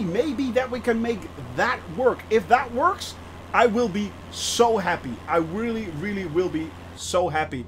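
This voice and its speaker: A man with a rather high voice hosts a live flight simulator stream, chatting loosely with his viewers. He thinks out loud, stumbles over restarts, and calls out to chat members by name. A faint background hum sits under his voice. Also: low-quality recording. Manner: chatty, thinking aloud, greets viewers by name with bursts of excitement; frequent hesitations and restarts while explaining. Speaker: livestream host of a flight simulator channel.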